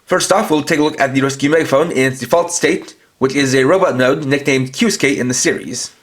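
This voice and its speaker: A man's voice: mid-range voice, fairly quick. A man reviewing toys, walking through each feature in a methodical, step-by-step way. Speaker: toy reviewer. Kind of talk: toy review demonstration.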